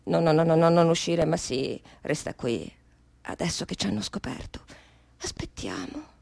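An actress playing a trapped, stubborn, sardonic unborn baby with an adult mind in a theatrical radio monologue, repeating and correcting herself. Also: female voice, a little high, animated, a little slow, a little mellow, dry recording. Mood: anxious, sarcastic. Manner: self-correcting, repetitive, musing then emphatic.

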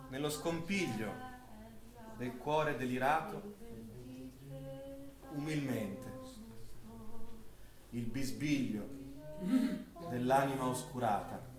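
A man, a stage actor, narrating dramatically in a declamatory, solemn manner. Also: mid-range voice. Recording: echoey room with audience noise.

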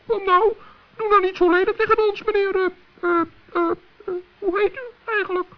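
A performer playing a small, polite, anxious male forest gnome in a children's radio play, with a squeaky, hesitant voice. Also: steady tone, normal speed. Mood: polite, puzzled. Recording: dull old radio play recording.

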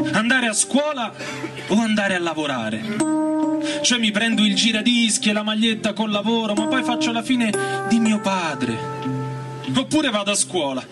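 A man reading a first-person story aloud in a theatrical, colloquial way, drawing out words for emphasis with pauses. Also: high voice. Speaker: actor reading a novel aloud. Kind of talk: dramatic first-person literary reading over live music. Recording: distant mic, loud music over voice. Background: loud guitar and organ music.

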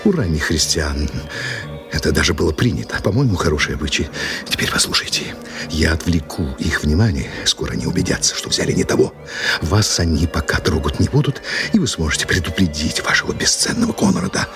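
A suave gentleman writer in a radio play. His delivery is courteous and wry, with a very lively, expressive melody, and faint music sits behind the voice. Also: extremely low pitch, vocal fry, heavily acted. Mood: hushed, tender. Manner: courteous, ironic, unhurried. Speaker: actor in an audio play. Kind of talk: Russian radio drama acting.

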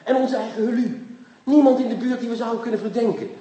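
An actor playing a brusque, impatient, sardonic police inspector who easily gets excited, in a Dutch radio drama. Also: male voice, extremely high pitch, fast, slightly rough, highs cut off. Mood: excited, urgent. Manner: bossy, cursing, sardonic.

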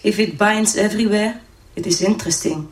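An actress playing a detached woman scientist who coolly observes an experiment in a radio play, speaking in a measured, clinical way. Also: mid-range voice, slight background noise, lightly acted. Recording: very echoey, distant from the mic.

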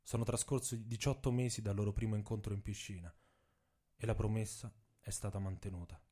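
A man narrates literary prose in a dramatic reading. His voice sits on the low side and has a very soft, dark, mellow tone. He sounds like an author telling his own story. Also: steady tone, a little fast.

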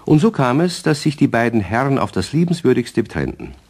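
A man's voice: medium pitch, sing-song, fairly quick, darkish tone, slightly rough. A man narrating a scripted children's radio story.

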